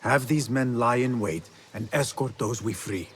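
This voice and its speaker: An actor playing an earnest, humble and determined young assassin in a video game cutscene. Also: male voice, medium pitch, a little slow, slight background noise, close to an everyday voice. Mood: calm, resolute.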